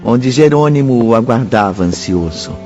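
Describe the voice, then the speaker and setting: Animated male narrator, mid pitch. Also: moderate pace, dull audio, over music. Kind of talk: scripted radio drama narration.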